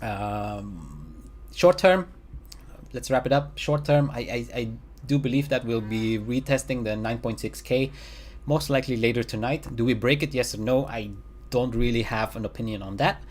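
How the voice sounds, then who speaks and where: A man casually talking through market charts, with lots of fillers and restarts, recorded at home. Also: medium pitch. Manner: halting, many fillers and restarts; chuckles at own remarks. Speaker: crypto market analyst. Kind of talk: casual chart analysis commentary.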